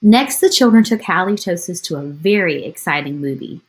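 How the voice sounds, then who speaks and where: A woman with a fairly low voice reads a children's picture book aloud. She tells it expressively, as a teacher would, and speaks to her young listeners in simple, chatty asides. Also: expressive, moderate pace. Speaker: elementary school teacher reading aloud.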